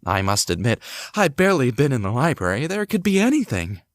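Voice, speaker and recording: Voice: medium pitch, a little fast, no room sound, clean studio sound, lightly acted. An actor playing a curious amateur sleuth in a hobby audio drama, speaking expressively. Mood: curious, musing. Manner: expressive, swinging between musing and exclaiming. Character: curious amateur sleuth exploring an old house. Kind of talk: hobby audio drama acting, thinking aloud.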